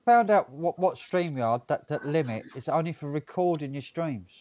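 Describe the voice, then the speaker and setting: A man hosting a stream, chatting casually in a relaxed, matter-of-fact way. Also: on the high side, moderate pace. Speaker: stream host. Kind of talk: casual chat.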